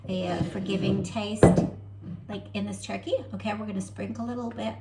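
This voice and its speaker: A young American woman walks viewers through a home recipe in her kitchen, chatty and easygoing, tagging her sentences with "okay?". Her voice carries a gravelly fry, and faint room noise sits behind it. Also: medium pitch, steady tone, a little fast, darkish tone, poor audio. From United States. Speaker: club activity host. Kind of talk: home cooking demonstration.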